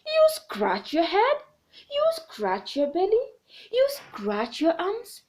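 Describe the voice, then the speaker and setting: A woman voicing an excitable, indignant storybook rabbit in an exclaiming, defensive manner. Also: extremely high pitch, slow, voice pushed up, exaggerated voice.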